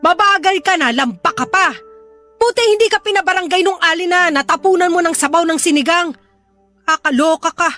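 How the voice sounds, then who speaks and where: Hot-tempered middle-aged Filipino mum, radio-drama melodrama. Very high, sharp, scolding and quick to threaten. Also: female voice, soft background music. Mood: exasperated, nagging. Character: hot-tempered, nagging, gambling widowed Filipino mother.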